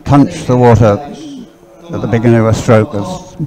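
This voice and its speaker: A man giving loose, halting live race commentary outdoors, often rambling and thinking aloud. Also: fairly low pitch, level tone, crowd noise, poor audio. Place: outdoors beside a race course. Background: music, loudspeakers at a sports venue.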